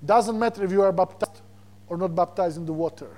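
A man from Slovakia with a Slovak accent, preaching a sermon as a guest preacher, animated and repeating words as he searches for them. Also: high voice, fairly quick. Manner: animated, repeats words when searching, chuckles between points.

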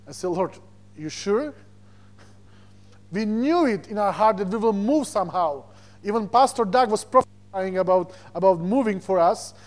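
A man from Slovakia with a Slovak accent preaches informally through personal stories, searching for words in his second language. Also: high-pitched. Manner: halting, searching for words in non-native English. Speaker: visiting preacher.

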